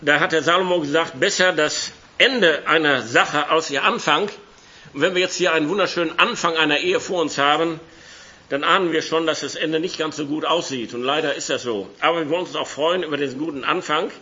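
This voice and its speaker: A man with a cold preaches in a church hall, his voice hoarse but bright and forceful. He talks casually with the congregation and reads scripture aloud. The sound is a little muffled and lo-fi. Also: on the high side, brisk. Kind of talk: sermon with Bible reading.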